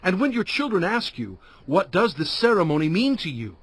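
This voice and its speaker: An actor playing an earnest, forceful male prophet and leader in a dramatized audio Bible, declaiming emphatically. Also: high-pitched, big pitch swings, moderate pace, breathy edge, dry recording, full character voice. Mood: urgent, instructing. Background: music.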